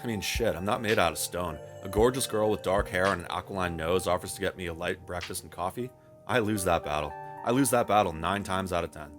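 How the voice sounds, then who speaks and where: Man, deep voice, wry video essay narration, conversational, guitar music behind. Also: fairly quick. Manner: wry, self-deprecating, wordy phrasing with casual swearing. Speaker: video game reviewer.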